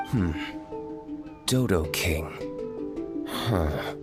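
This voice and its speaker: A man playing an aloof, brooding young nobleman in a video game, speaking in a deadpan, clipped, formal way. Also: low-pitched, drawn-out words, over music, heavily acted. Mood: pensive.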